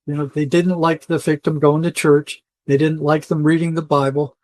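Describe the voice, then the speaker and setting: Older male interviewee, slightly high voice, dry close sound, folksy and emphatic storyteller. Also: flat delivery, normal speed, darkish tone, no room sound. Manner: emphatic, colloquial, often quoting imagined dialogue. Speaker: interview guest, retired psychotherapist.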